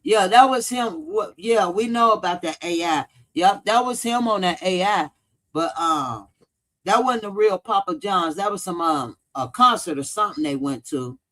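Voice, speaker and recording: A man's voice: high voice, moderate pace, a bit bright. A man hosts a casual livestream. He talks bluntly, cusses freely and hammers points by repeating them, often answering viewers as he goes. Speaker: true-crime commentary livestream host.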